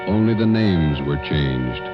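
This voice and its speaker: A man's voice: rumbling low, expressive, slow. A man announcing solemnly from a script in 1950s radio style. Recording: muffled old radio recording. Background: loud brass music.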